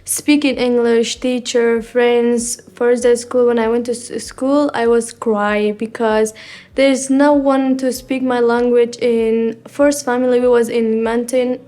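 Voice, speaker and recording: A teenage girl from Afghanistan, telling her school story in halting, simple learner English with many pauses. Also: a little high, level tone, mellow. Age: teens. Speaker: student. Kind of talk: personal testimony.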